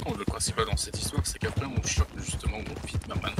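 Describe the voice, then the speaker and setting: A man's voice: lowish voice, fast, heavy background noise. A man in a roleplay, playing a worldly man who plans a job, speaking plainly and matter-of-factly. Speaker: roleplay player. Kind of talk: in-character roleplay chat.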